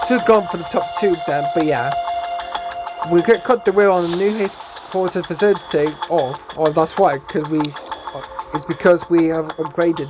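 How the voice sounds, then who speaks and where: A man giving casual gameplay commentary as a YouTuber, rambling and stumbling over his words, his voice hoarse and going. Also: a little high. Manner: rambling, stumbling, tags lines with "eh?" and "then, guys". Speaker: gaming YouTuber. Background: game music.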